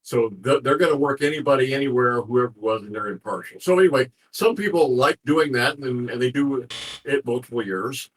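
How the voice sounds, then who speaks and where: A man with a husky voice talking informally as an interview guest, explaining things in a rambling way and repeating phrases as he goes. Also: mid-range voice, fairly quick, low-quality recording. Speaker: interview guest, ham radio contest organizer.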